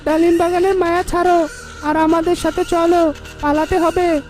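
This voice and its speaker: A woman playing an anxious, sensible villager in a cartoon, urgent and coaxing, with loud music behind. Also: very high-pitched, level tone, moderate pace. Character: anxious, sensible villager urging an old neighbour along.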